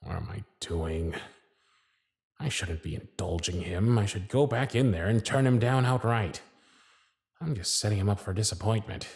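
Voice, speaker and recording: A man performing an ASMR roleplay as a jaded, sarcastic supervisor, weary and brusque, with moments where he softens into a quiet murmur. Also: lowish voice, moderate pace, breathy edge, dry recording, studio quality.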